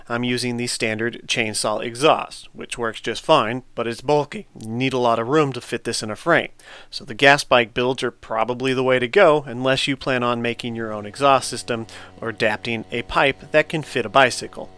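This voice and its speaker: A man narrating a scripted product review as a vlogger, in a measured, matter-of-fact way. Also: medium pitch, fairly even intonation, a bit bright, clean tone, dry recording. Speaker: vlogger, motorized bike reviewer.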